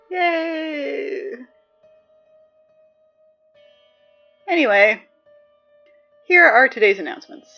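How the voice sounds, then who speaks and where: A woman playing a weary, sardonic high school morning announcer in a scripted audio drama. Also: dull audio. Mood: wry, reluctant. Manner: sardonic, deadpan, exasperated asides.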